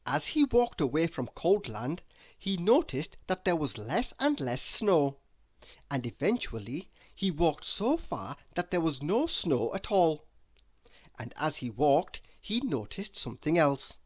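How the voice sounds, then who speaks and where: A storyteller reading a children's book aloud in an expressive narrating style. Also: medium pitch, unhurried, no echo.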